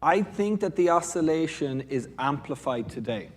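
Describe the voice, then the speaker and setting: A man's voice: a little high, expressive, moderate pace. A man with an Irish accent answering as a panel speaker from a business school, discursive and often rephrasing mid-sentence.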